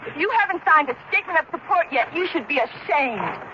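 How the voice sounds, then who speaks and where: A feisty colonial gunner, brash and plain-spoken. Her very high voice leaps about over noise, in a dull radio ad recording. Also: expressive, fairly quick. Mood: scolding, rallying. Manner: brash, shouting. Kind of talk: dramatized public service radio ad.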